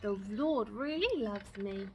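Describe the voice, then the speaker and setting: A timid little boy robin, as a woman reader puts him on, speaks earnestly in a high storybook voice. The recording has clear background noise. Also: animated, slow pace. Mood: joyful. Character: shy little young male robin, timid, earnest songbird.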